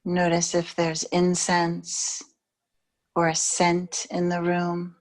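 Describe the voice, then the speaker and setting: Slow, low-voiced woman guiding meditation. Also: completely flat delivery. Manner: soothing, unhurried, with long pauses between phrases. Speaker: yoga teacher. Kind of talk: guided yoga nidra meditation.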